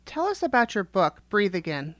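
A woman's voice: mid-range voice, big pitch swings, moderate pace, no echo. A woman hosting a radio interview, reading out prepared questions.

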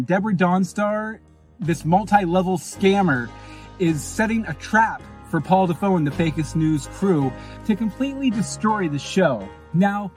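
A man with a fairly high, slightly husky voice recaps an audio comedy in a jokey, self-mocking way. Soft music plays behind him. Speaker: podcast host and show creator. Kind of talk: fast comic recap of a story.

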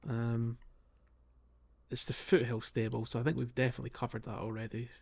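A man's voice: mid-range voice, flat delivery, fairly quick, gravelly, a little mellow, lo-fi sound. A man co-hosting a podcast, talking casually about a video game playthrough in a chatty, hesitant way. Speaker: podcast co-host.

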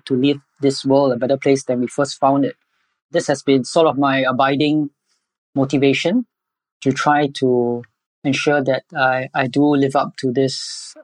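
Male podcast guest, Singaporean accent. Conversational, unhurried phrasing with hesitations, very little room sound. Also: mid-range voice, dry recording, low-quality recording. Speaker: podcast guest, environmental educator. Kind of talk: conversational interview answers.

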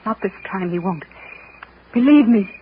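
A woman's voice: mid-range voice, animated, moderate pace, slight background noise, lightly acted. A woman playing an anxious, proper, pleading Southern aunt in a 1940s style radio drama. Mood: urgent, reproachful. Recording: muffled vintage radio broadcast with music.

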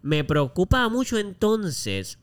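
A man's voice: high-pitched, wildly swooping pitch, slow pace. A man with a Puerto Rican accent co-hosting a casual podcast, speaking informally and mixing English words into his Spanish. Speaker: podcast co-host.

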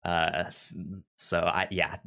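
A man with a low voice talks through his thoughts at an unhurried pace in a casual book chat. His speech is halting, and he often stammers on the start of a word or repeats it before moving on. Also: completely flat delivery, sharp tone. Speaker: podcast co-host.

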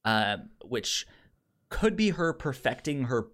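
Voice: mid-range voice, very animated, slow, fairly bright tone. A podcast host talking casually about film in a hesitant way, often stammering and repeating sounds.

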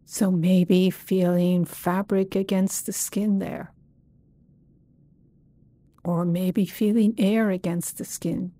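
Woman, guided meditation, soft dark tone, long unhurried pauses, close dry recording. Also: fairly low pitch, flat delivery, no echo. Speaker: meditation teacher. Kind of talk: guided body scan meditation.

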